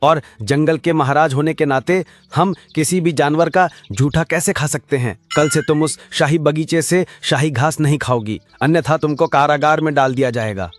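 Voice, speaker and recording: A man's voice: on the high side, normal speed, faint music behind, close to an everyday voice. A man voicing an arrogant donkey who rules the jungle, speaking in a commanding royal manner in a Hindi cartoon. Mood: haughty.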